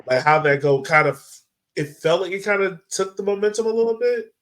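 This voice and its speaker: A man with a slightly high voice hosts a casual sports conversation about soccer. He talks informally, as one of a group of podcast panelists. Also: expressive, a little fast, fairly bright tone.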